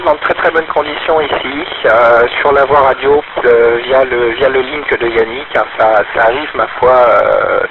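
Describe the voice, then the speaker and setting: A man from France making an amateur radio contact, reciting call signs and chatting with many hesitations. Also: mid-range voice. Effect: two-way radio. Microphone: handheld radio transceiver. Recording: tinny, noisy handheld radio link.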